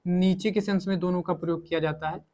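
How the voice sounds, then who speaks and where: A man's voice: high voice, fairly even intonation, fast, dark tone. A man teaching English grammar in a coaching lesson, repeating himself and asking questions that he answers himself. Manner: repetitive, asks and answers own questions. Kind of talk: coaching lesson, explaining in Hindi with English examples.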